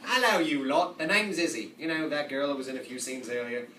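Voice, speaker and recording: A man's voice: a little high, fairly quick, bright, forceful tone. A man as an edgy, overdramatic teenage girl, echoey. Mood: sassy. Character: Izzy, edgy, boy-obsessed, frantic teenage girl. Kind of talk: comedic reader's theatre. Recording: noisy, echoey room from far off.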